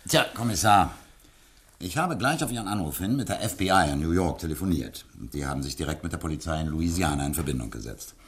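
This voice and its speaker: An actor playing a courteous, businesslike embassy official briefing investigators in a German radio drama. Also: male voice, low voice, lively intonation. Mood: courteous, obliging. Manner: courteous, measured, reads out files methodically.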